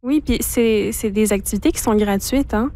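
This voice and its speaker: Woman, radio host, studio, mellow voice, fairly high, clear questions. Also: normal speed, no echo. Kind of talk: radio interview.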